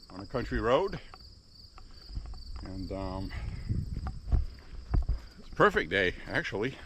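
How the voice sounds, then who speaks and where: A man who once worked in radio, talking slowly and deliberately while walking on a country road, recorded through a Bluetooth earpiece. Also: mid-range voice, a bit bright, a little hiss or hum, rough recording. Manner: slow, deliberate radio-trained delivery. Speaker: walking vlogger, former radio host. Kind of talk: casual walking vlog monologue. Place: outdoors on a quiet country road. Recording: faint crickets outdoors. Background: crickets and insects.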